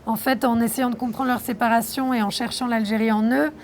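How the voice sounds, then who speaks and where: A young woman from France speaks in a slightly high voice, answering an interviewer in a thoughtful, reflective way with frequent pauses. Faint background noise sits behind her. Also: fairly even intonation, a little mellow. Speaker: documentary filmmaker being interviewed.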